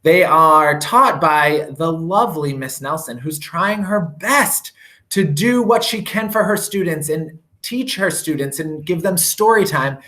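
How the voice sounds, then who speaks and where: A man reads aloud to children like an expressive storyteller. His voice is bright and very lively in pitch, with unhurried, theatrical emphasis.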